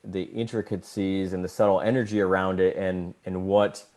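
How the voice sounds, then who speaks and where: A man co-hosting a podcast, talking earnestly in a casual spiritual chat, rambling a little and getting animated as he tells stories. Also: deep voice, moderate pace. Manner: earnest, rambling, gets animated when telling a story. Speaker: podcast co-host.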